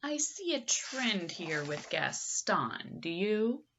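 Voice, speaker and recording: A woman's voice: medium pitch, sing-song, slow, fairly bright tone, lo-fi sound, a little hiss or hum. A woman reading a children's picture book aloud expressively and theatrically, engaging young listeners.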